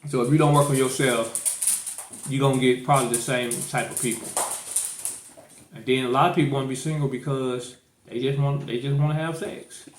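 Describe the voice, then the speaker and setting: A man's voice: mid-range voice, steady tone, fairly quick, pauses often, reverberant, slight background noise, poor audio. A man hosting a podcast, talking loosely and rambling, with many restarts and fillers. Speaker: podcast host. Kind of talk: casual chat.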